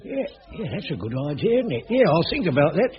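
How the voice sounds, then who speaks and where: A dull-sounding old radio comedy recording of a wily London caretaker. His voice sits high for a man, and he grumbles and rambles in colloquial working-class speech like a born jobsworth. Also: big pitch swings, quick pace. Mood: rambling. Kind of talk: radio sitcom acting.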